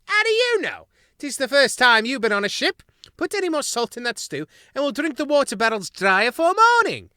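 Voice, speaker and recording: A man voicing a crew of cheeky young mouse sailors squabbling over a stew pot in a storybook, in high, rowdy voices. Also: wildly swooping pitch, a little fast, bright, forceful tone, clean tone, no echo, studio quality, full character voice. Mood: bickering. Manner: squeaky, rowdy, working-class banter.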